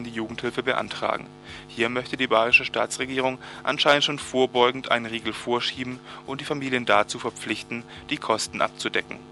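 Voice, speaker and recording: A man's voice: medium pitch, vocal fry, pushed and bright. A man reading a scripted news report as a radio presenter.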